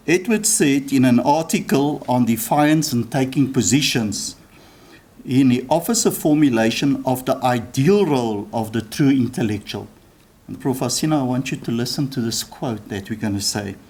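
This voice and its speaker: A man with a South African accent chairing a university ceremony, delivering a formal, measured welcome speech with occasional restarts. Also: medium pitch, darkish tone, slightly rough. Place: university lecture hall.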